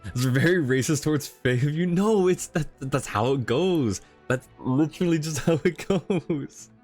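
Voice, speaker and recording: A man livestreaming a game, casually commenting and joking with viewers in a halting, self-interrupting way. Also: fairly high pitch, expressive, a little mellow, faint music behind.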